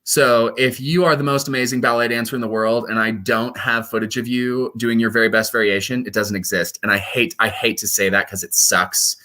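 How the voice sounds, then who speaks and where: Man from Colorado, young adult, bright forward tone, chatty and animated, casual interview guest. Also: mid-range voice, fairly quick, hardly any pauses. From Colorado, USA. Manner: chatty, animated, self-deprecating with asides to listeners. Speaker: guest performer.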